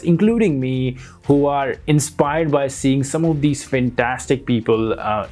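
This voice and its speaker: A man's voice: medium pitch, darkish tone. A man hosting an interview show, welcoming his guest and asking questions in an earnest, welcoming manner. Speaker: host.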